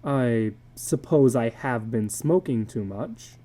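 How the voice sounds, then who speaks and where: A woman's voice: very deep voice, slow, dark tone, pitched below the performer's own voice, exaggerated voice. A woman voicing a reassuring husband and father in a dramatic story reading, soothing and reasoning. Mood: doubtful.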